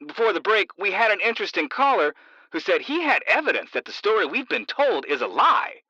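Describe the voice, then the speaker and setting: A man's voice: high voice, sing-song, fairly quick, pushed and bright, no echo, muffled recording, full character voice. An actor playing a brash talk radio host with showman patter, in a radio drama. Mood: upbeat.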